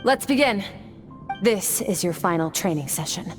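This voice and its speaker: An actress playing a composed female warrior mentor with a detached, measured, instructive manner in a video game. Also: lowish voice, expressive, close to an everyday voice. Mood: stern, instructive. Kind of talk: video game character acting. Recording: loud music under the voice. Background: music.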